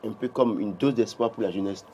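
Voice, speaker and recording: A man's voice: on the low side, a little fast, warm tone, slight background noise. A man with a Beninese French accent giving political commentary on a morning TV show, emphatic and full of restarts. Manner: emphatic, many restarts, rising when insisting. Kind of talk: morning TV press review.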